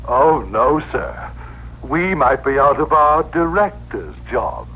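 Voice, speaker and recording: An actor playing an eager, cheeky subordinate, deferential and excitable, in a 1960s radio drama. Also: male voice, mid-range voice, takes it slowly, breathy edge, soft background music, exaggerated voice, low-quality recording. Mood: sly, deferential. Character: eager, cheeky male subordinate reporting nervously. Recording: vintage radio drama broadcast recording.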